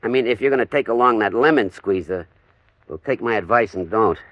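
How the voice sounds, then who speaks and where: Actor as deep, animated American ex-mobster. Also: male voice, brisk, no room sound, highs cut off, natural voice. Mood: wry, advising. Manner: slangy, streetwise wise-guy talk. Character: aging reformed mobster turned small-time insurance salesman. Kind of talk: 1950s-60s American radio drama acting.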